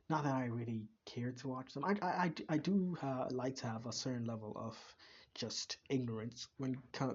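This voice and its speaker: A man's voice: mid-range voice, darkish tone, muffled recording, slight background noise. A young man, casually chatting and rambling with many restarts as a reaction video host. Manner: rambling, halting, full of fillers and restarts. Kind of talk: casual chat.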